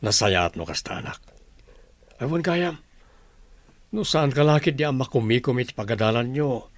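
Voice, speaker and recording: Expressive caring Filipino father acted by a man. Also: mid-range voice, moderate pace, no echo, lightly acted. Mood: fatherly advice. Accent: Filipino, Ilocano. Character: caring, worrying, hardworking father of college student. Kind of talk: Ilocano radio drama acting. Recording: faint background noise.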